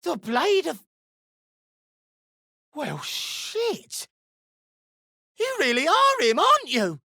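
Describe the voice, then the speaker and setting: A defiant, sardonic gnome for a fantasy video game. She is brash and foul-mouthed, taking her time over words, with a forceful edge and very lively pitch. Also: very slow, no room sound, clean studio sound, heavily acted. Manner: brash, gruff, sardonic, swearing. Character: foul-mouthed, defiant, suspicious deep gnome woman prisoner.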